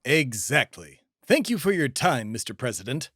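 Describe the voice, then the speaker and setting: A man's voice: medium pitch, sing-song, moderate pace, music in the background. A young man hosting a scripted comedy podcast, speaking in a pompous, grandiose and theatrical manner. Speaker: podcast host.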